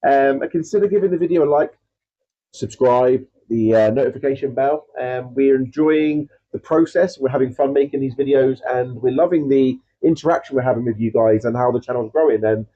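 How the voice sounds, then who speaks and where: A man with a blocked, congested voice talks casually and loosely, stopping often on fillers and laughing off his own pronunciation. His tone is mellow and dark. The recording sounds a bit dull and lo-fi. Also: mid-range voice, a little fast. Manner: rambling, filler-heavy, self-deprecating about pronunciation. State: congested with a cold. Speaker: aquarium channel host. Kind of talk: casual chatty video talk.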